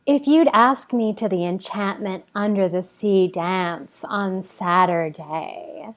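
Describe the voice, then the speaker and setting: A woman voicing a smitten, forward teenage girl in a comic table read, with dreamy, drawn-out, hesitant phrasing. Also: medium pitch, lightly acted. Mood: smitten, coy.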